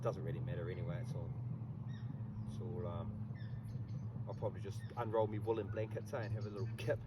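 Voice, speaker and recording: A laid-back man with a New Zealand accent rambles to his camera on a highway ride, dropping ums and restarting his sentences. His voice sits back from the mic and is half swallowed by loud, roaring wind and traffic noise. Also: flat delivery, brisk, distant mic. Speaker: motorcycle vlogger. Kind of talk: casual rambling monologue. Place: outdoors on a motorcycle by the highway.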